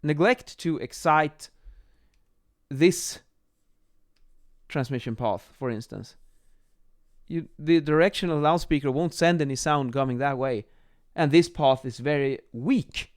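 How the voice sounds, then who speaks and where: A man's voice: a little high, unhurried, pauses often. Man giving an informal technical lesson. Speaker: acoustics instructor, presenter. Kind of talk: informal whiteboard explainer lesson. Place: small home office, indoors. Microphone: microphone on boom arm, close.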